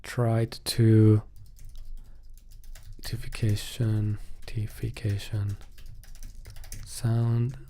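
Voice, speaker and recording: A man's voice: fairly low pitch, little pitch movement, extremely slow, pauses often, dark tone. A man giving casual live-coding commentary, thinking aloud in a hesitant way as he works.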